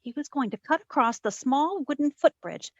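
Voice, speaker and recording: A woman playing a sassy, quick-witted private detective, narrating her case in old-time radio drama style. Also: high-pitched, animated, no room sound, dull audio. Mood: brisk, wry. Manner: wry, wisecracking.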